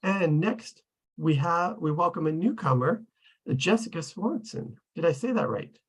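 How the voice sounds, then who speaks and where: A man's voice: a little high, expressive, measured pace, husky. A man hosting an online table read, casually introducing participants and thanking them.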